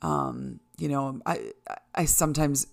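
A woman's voice: a bass voice, steady tone, slow, gravelly. A middle-aged American woman hosting a solo podcast, talking candidly and casually to listeners in an informal, mildly sweary way. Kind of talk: casual conversational monologue to listeners.

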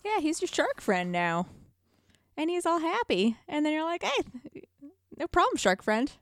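A young woman chatting casually as a podcast guest about a video game, rambling in an animated way and often saying "like". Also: sits high, measured pace, no room sound, studio quality. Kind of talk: casual chat.

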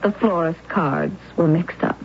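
An actress playing an anguished but resolute self-sacrificing wife in an old-time radio drama, with earnest, deliberate, dramatic phrasing. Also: female voice, fairly low pitch, a little hiss or hum, close to an everyday voice. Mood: pained resignation. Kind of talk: old-time radio drama acting and first-person narration. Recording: muffled old radio recording, music behind.

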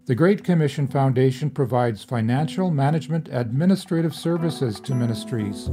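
A man's voice: mid-range voice, mellow. A man hosting a podcast, reading scripted introductions and announcements. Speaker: podcast host.

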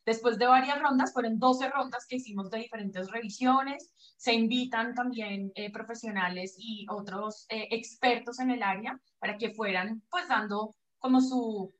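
A woman from Colombia speaks in a fairly high voice over a video call. She explains at length, pausing on fillers and restarting her sentences as she goes. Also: low-quality recording. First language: Spanish. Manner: hesitant, many restarts and fillers. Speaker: pelvic floor physiotherapist, guest. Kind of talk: online interview.

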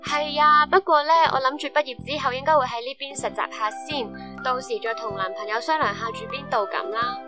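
A high-pitched young woman played by an actress as a caring, chatty student in a radio play. She has a Hong Kong Cantonese accent, with music underneath. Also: brisk, few pauses, natural voice. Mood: concerned. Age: young adult. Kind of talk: radio drama acting.

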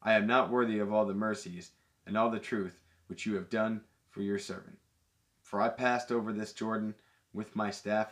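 A man reading the prayer of a frightened man who pleads to be saved, in his own fairly low voice. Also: fairly bright tone. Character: fearful man praying to be delivered from his brother.

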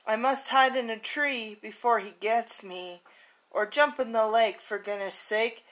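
A woman's voice: a little high, a little slow, fairly bright tone, low-quality recording. A woman voicing an apologetic male elephant in a rhyming storybook read aloud. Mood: frightened. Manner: apologetic, fussy rhyming speech. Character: sorry, sneezy male elephant.

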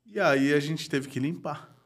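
A man's voice: on the high side, measured pace, fairly bright tone. A man, a guest telling stories in casual chat, slangy, laughing often and dropping to a mutter. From Brazil. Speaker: guest graffiti artist.

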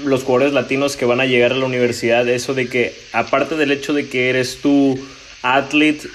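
A man interviews a guest in a relaxed, chatty way. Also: medium pitch, normal speed, slight background noise. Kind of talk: casual interview chat.

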